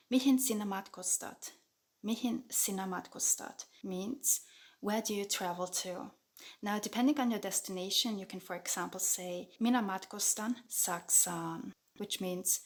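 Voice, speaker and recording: A woman giving a scripted grammar lesson voice-over, speaking clearly and at a measured pace, with slow repetition of example sentences. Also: mid-range voice. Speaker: Finnish language teacher.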